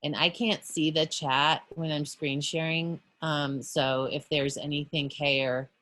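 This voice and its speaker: A woman art educator leading teachers through an online workshop on a video call, explaining patiently with frequent pauses and self-corrections. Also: low-pitched, little pitch movement, fairly bright tone.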